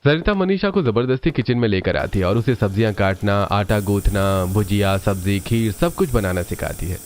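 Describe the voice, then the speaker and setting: A man's voice: fairly low pitch, animated, normal speed, a little mellow, a little hiss or hum. A man narrating a scripted cartoon family story as the storyteller.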